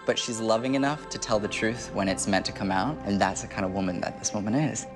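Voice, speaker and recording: A man in his twenties speaking as a medium, relaying impressions in a halting, conversational way. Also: on the low side. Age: 20s. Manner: halting, narrating impressions as they come. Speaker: medium, show host. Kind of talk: psychic reading, spontaneous conversational. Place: indoor TV studio set. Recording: music behind the voice. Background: music.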